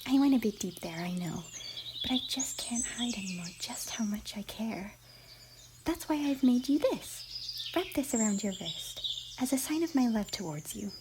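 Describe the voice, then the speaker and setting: A woman voicing an affectionate, bubbly young cartoon pony in an ASMR roleplay, with a squeaky, breathy voice and a theatrical, tender manner. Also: expressive, moderate pace, natural voice. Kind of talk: ASMR fan fiction roleplay. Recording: noisy, birdsong and ambience behind.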